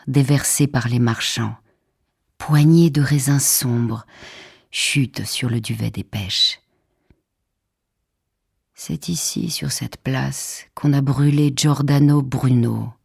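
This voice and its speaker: A woman reads poetry for an audiobook in a solemn, measured, expressive way, with deliberate pauses and hushed phrases. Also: rumbling low, creaky, husky, no room sound, studio quality. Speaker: poetry reader. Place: radio theatre studio. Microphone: close studio microphone.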